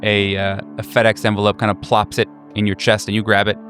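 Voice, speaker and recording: A man improvising horror roleplay narration as game master, halting and pausing deliberately. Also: fairly low pitch, completely flat delivery, a bit bright, faint music behind.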